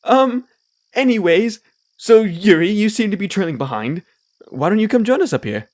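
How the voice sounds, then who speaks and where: A man's voice: high-pitched, sing-song, moderate pace, a little mellow, no room sound. A man playing a charming, playful demon who is a wandering bard in an audio drama, theatrical, flirtatious and breezy. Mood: friendly.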